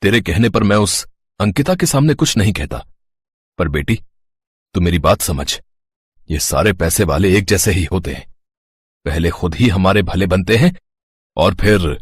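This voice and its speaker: A man's voice: low voice, animated, moderate pace, pauses often, slightly rough, no echo, clean studio sound. A man voicing a worried, protective poor father who warns his daughter in a cartoon story. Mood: warning. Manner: pleading, warning.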